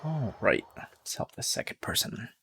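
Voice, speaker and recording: A man's voice: fairly low pitch, very animated, normal speed, gravelly, bright, forceful tone, a little hiss or hum. A man doing casual gameplay commentary as a streamer. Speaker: game streamer. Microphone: streamer's microphone. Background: faint game music.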